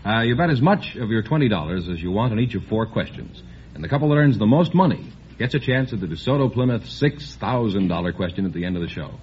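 A man's voice: on the low side, animated, quick pace, slight background noise. A man, the announcer of a quiz show, stating the rules and scores matter-of-factly. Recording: lo-fi 1950s radio recording.

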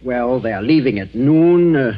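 An actor playing a smug, formal army medical officer of the Nazi occupation in a 1940s radio drama, slyly amused in manner. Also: male voice, mid-range voice, lively intonation, normal speed, natural voice. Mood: official. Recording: muffled 1940s radio recording.

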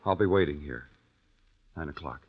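Deputy sheriff: young, kindly, earnest, low husky voice, vintage radio drama. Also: male voice, lively intonation, normal speed, exaggerated voice. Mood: gentle, earnest. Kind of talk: 1940s-50s radio drama acting. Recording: dull old radio broadcast recording.

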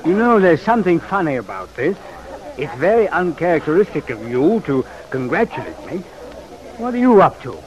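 An actor playing a smug, teasing small-town gentleman in an old-time radio drama, speaking in a mannered, dryly needling way. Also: fairly high pitch, very animated, moderate pace, muffled recording. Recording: vintage radio recording with crowd murmur.

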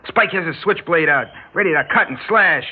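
Cocky, swooping young gang leader. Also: male voice, on the high side, animated, brisk, husky. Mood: mock menace. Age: young adult. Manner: taunting, mock-polite, slangy. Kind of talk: 1960s radio drama acting. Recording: muffled, noisy old radio recording.

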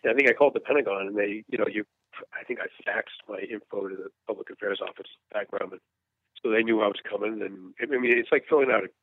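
Guest journalist, male, 50s, from the US. Earnest, candid, halting. Low voice on a thin, dry, phone-quality line. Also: no echo. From United States. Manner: earnest, frank, halting with restarts. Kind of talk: candid interview storytelling.

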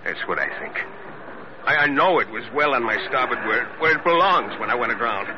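A man's voice: medium pitch, lively intonation, fairly quick, close to an everyday voice. Old sea captain played by a male actor: boozy, proud, rambling, pleading for his ship. Vintage radio play, dull noisy sound. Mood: earnest pleading. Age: older. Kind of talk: 1940s radio acting. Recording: noisy, muffled old radio drama recording.

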